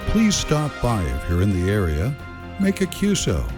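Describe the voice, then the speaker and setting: A man's voice: fairly low pitch, wildly swooping pitch, normal speed. A man reading scripted promotional narration for a tour video, with music behind him. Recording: music under the voice. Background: background music.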